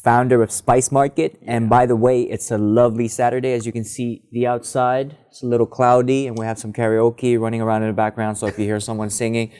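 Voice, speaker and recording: A man hosting a casual interview, asking quick, friendly questions in an upbeat manner. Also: medium pitch, flat delivery, a little mellow, smooth. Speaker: host, interviewer. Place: outdoors, karaoke nearby.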